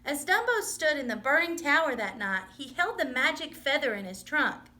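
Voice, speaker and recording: A woman reading a children's story aloud in an expressive, dramatic storytelling manner. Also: sits high, normal speed. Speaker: storybook reader.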